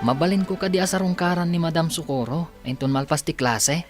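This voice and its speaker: A woman's voice: low voice, fairly quick, over music, close to an everyday voice. A woman acting an eager, affectionate schoolchild in an Ilocano radio drama, with an Ilocano accent.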